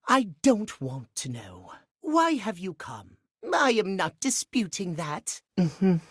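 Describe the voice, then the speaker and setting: A man's voice: high-pitched, sing-song, takes it slowly, fairly bright tone, slightly rough, dry recording, studio quality, heavily acted. A man voicing a fussy, pompous male clock obsessed with punctuality in a video game, with prim, haughty, precise elocution. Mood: haughty, disapproving. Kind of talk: theatrical video game character acting.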